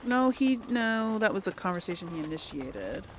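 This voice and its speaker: A woman's voice: mid-range voice, big pitch swings, normal speed, noisy background. A woman doing casual let's play commentary, thinking aloud in an informal, hesitant way. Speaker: gamer, let's play host.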